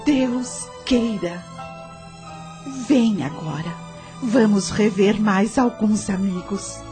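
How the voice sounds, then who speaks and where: A Brazilian actress playing a gentle, wise spiritual guide in a radio soap, with a measured, soothing and solemn manner. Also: female voice, mid-range voice, music in the background, natural voice. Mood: solemn, serene.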